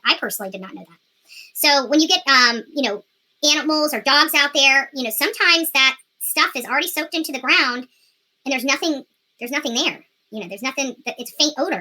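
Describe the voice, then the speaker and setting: A woman with an American accent talks to her viewers as a live commentator. Her voice sits high and has a very sharp, forceful edge. She is emphatic, often restating a phrase to drive it in. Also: rough recording. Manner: emphatic, repeating phrases for stress. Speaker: livestream host and commentator.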